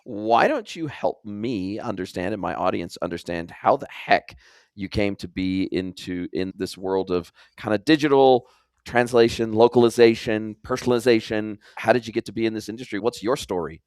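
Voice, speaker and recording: A male podcast host with a blended American and New Zealand accent. His voice is on the low side and very clean, recorded close and dry. He talks in an effusive, conversational interview style. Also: normal speed, darkish tone, no room sound. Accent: mixed American and New Zealand. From California, United States, later long in New Zealand.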